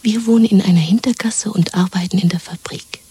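An actress playing a tender, dreamy young woman lover in a 1970s German radio drama, speaking in an intimate, coaxing, hushed whisper. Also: mid-range voice, a little fast, slight background noise, close to an everyday voice. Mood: eager, dreaming. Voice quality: breathy, whispering.

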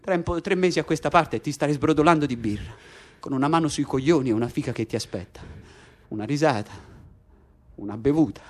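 A man's voice: a little high, quick pace, natural voice. An actor playing a bitter, despairing political prisoner in intense naturalistic radio theatre, insistent and repetitive as he addresses his cellmate. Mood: bitter, quiet.

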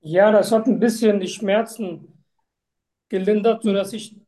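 Slow, matter-of-fact woman answering as patient. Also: mid-range voice, fairly even intonation, warm tone. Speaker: simulated patient in medical exam practice. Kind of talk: role-played patient answers.

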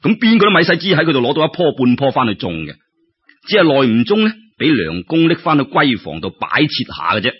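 Male storyteller, Cantonese accent, lively swooping intonation, colloquial narration, dull lo-fi recording. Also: medium pitch, fast, husky. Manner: colloquial, expressive, uses exclamations and rhetorical questions. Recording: dull lo-fi audio.

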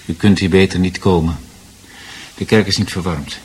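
An actor in a radio drama playing a cold, stern priest with a formal, firm and curt manner. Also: male voice, sits low, steady tone, moderate pace, noisy background, natural voice. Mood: cold, restrained. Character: cold, stern priest rebuffing a woman's love.

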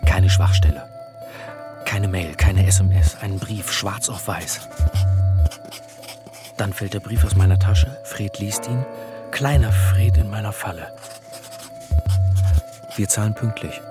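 An actor playing a menacing, lovesick extortionist in a radio drama, muttering insistently in halting, repeated phrases. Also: male voice, on the low side. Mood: demanding, gloating. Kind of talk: stylized radio drama monologue and dialogue. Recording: music behind the voice. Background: music.

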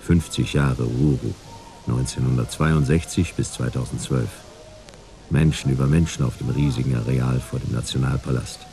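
Very low, gravelly, jaded detective. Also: male voice, steady tone, moderate pace, warm tone, faint music behind. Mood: dry, sardonic. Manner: laconic, sardonic, clipped sentences. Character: jaded, wry male private detective narrating his case. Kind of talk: noir radio play narration and dialogue.

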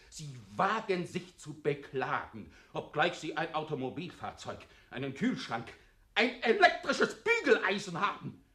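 Radio drama: bullying, contemptuous male boss, high voice, sharp and forceful, theatrical scolding. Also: full character voice. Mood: indignant scolding. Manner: contemptuous, blustering, insulting. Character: irate bullying male department head, scolding an employee. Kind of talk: theatrical radio play tirade.

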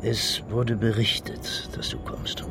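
An actor playing an eccentric, calm guide in a virtual world, with a theatrical delivery that swings from hushed to shrill, in a German science fiction radio play. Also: male voice, takes it slowly, over music, exaggerated voice. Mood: solemn, probing. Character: Farin, eccentric calm virtual-reality guide and prophet-like host.

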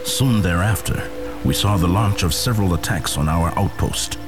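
A man's voice: low voice, moderate pace, over music, natural voice. A man playing a formal, bureaucratic official who delivers a scripted report in a radio drama. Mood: measured concern. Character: male official reporting on threats to order.

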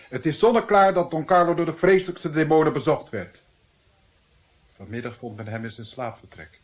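Male for radio play: haughty, threatening friar, zealous, formal, rather high-pitched. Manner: formal, accusing, sanctimonious. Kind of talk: radio drama acting.

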